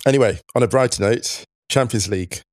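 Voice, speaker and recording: A man in his forties co-hosting a podcast, croaky, laughing and self-deprecating in casual chat. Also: mid-range voice, fairly quick, no echo, clean studio sound. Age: 40s. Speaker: podcast co-host.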